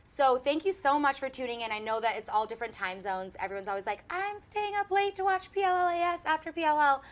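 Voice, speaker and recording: A young woman hosts a relaxed fan chat, chatty and giggly and full of "um" and "like". Her voice sits a little high. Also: fast. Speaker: host.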